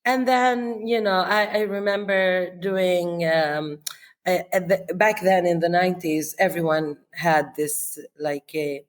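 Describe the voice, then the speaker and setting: A woman's voice: fairly low pitch, fairly even intonation, slow pace. A woman, a chef being interviewed, talking in a reflective way with fillers, restarts and frequent laughs. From Israel. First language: Arabic. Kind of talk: relaxed conversational interview.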